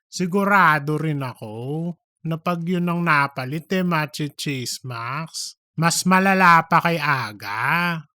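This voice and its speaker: A man's voice: a little high, slow, pushed and bright, no room sound, clean studio sound, exaggerated voice. A man playing a gossipy, cheeky office worker in a comedic audiobook, speaking in a campy, dramatic, slangy way. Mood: scheming, catty. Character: gossipy, cheeky, self-promoting office worker named Cheese.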